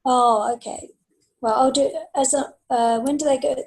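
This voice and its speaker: A woman's voice: sits high, steady tone, moderate pace, darkish tone. A woman author chatting on a panel in a hesitant, thinking-aloud way. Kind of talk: casual panel chat.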